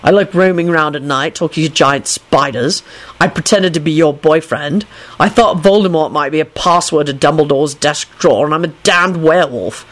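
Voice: medium pitch, normal speed, a bit bright, natural voice. A reader voicing a surly, morose male werewolf wizard in a storybook, snappish and grumbling. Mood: morose, unhappy.

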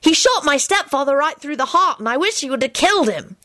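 A woman voicing an excitable, boastful young wizard boy for an audiobook. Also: high voice, fairly quick, no room sound, studio quality, pitched above the performer's own voice. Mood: eager. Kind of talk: audiobook character dialogue.

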